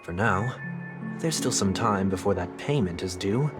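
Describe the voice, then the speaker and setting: An actor playing a composed, formal young man who speaks in measured, deliberate sentences, with music playing behind him. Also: low-pitched, expressive. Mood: calm. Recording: game soundtrack music behind.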